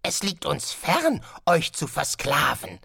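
A man voicing a calm, polite guest master who calmly announces dreadful things, in an audiobook. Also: on the high side, gravelly, slightly rough, dry recording, clean studio sound, pitched above the performer's own voice, heavily acted. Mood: smoothly menacing. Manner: courteous, unhurried, casually sinister. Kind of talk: audiobook character voice.

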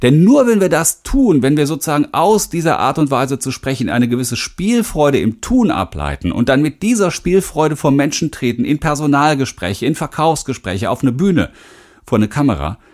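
A man's voice: on the high side, very animated, normal speed, a little mellow. Emphatic middle-aged man narrating self-help. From Rhineland, western Germany. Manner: candid, emphatic, addresses the listener directly. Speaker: audiobook author and speaking coach.

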